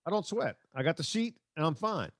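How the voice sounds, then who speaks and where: A man's voice: fairly high pitch, sing-song, brisk, fairly bright tone, no echo, studio quality. A middle-aged man hosting a sports podcast in a chatty, wry, conversational way. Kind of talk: casual conversational banter.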